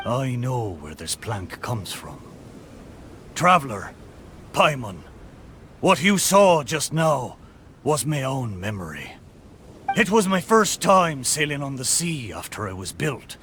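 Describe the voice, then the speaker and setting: A man's voice: medium pitch, big pitch swings, slow, pauses often, a bit bright, a little hiss or hum, exaggerated voice. A man voicing a talking ship's spirit in a video game, a hearty, wistful seafarer with a theatrical delivery. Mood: wistful, reflective. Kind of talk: scripted video game character dialogue.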